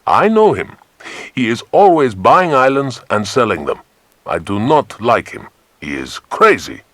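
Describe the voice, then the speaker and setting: A man voicing a small, excitable foreign police chief with clipped, formal English in a children's audiobook. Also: medium pitch, a little slow, no echo, full character voice. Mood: indignant.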